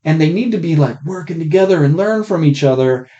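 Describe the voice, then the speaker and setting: Guy doing a rambly blunt podcast review, mellow dark voice, muffled rough mic. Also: on the high side, animated, fairly quick. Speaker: podcast co-host. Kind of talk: casual movie review chat.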